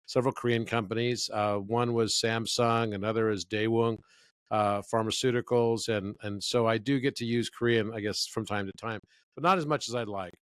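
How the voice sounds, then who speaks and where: A man's voice: on the low side, steady tone, moderate pace, a bit bright, smooth, no room sound. Enthusiastic man telling stories, dry sound. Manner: enthusiastic, storytelling. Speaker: interview guest. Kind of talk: conversational interview.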